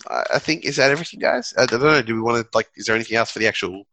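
A man's voice: mid-range voice, very fast, pushed and bright. A man co-hosting a podcast, chatting casually with a rambling, laid-back way of talking. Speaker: podcast co-host. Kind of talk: casual chat.

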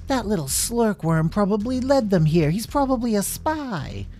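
A man's voice: high-pitched, very animated, moderate pace, warm tone, soft background music, voice pushed up. A man voicing panicky, accusing castle soldiers and townsfolk in a children's fantasy story.

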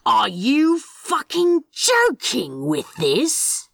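A scornful male demon played by a woman delivers threats in an audiobook story. The words come slowly, with heavy, drawn-out emphasis and big swings in pitch. The sound is dry and close, keeping every bit of contempt exposed. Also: wildly swooping pitch, vocal fry, dry recording, heavily acted. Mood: cold fury. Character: menacing male demon scolding his houseplants. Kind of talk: fan fiction audiobook character voice.

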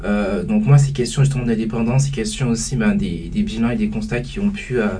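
A young man speaks hesitantly on a student panel, filling his pauses and restarting phrases. His voice is mellow and slightly husky. He sounds set back from the microphone. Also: fairly low pitch, distant mic. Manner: hesitant, many fillers and restarts. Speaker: student association president, guest. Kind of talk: casual panel discussion.

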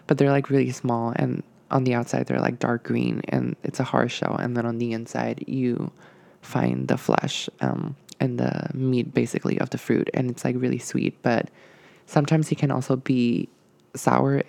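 Young man with a gravelly voice. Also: medium pitch, dark tone, no room sound. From Puerto Rico. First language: Spanish. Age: young adult. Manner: rambling, hesitant, many ums and likes. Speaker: podcast host. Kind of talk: casual solo podcast monologue.

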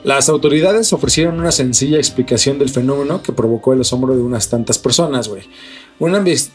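A man's voice: mid-range voice, darkish tone. Mexican man, slangy podcast co-host over music. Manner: slangy, swearing. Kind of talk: news reading mixed with casual chat. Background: background music.

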